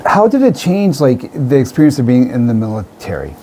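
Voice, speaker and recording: A man hosts a casual radio interview with a very animated, up-and-down voice. His tone is soft-edged and mellow. He gushes and exclaims as he talks, with a little noise in the background. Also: mid-range voice, brisk. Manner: gushing, exclamatory. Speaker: radio show host.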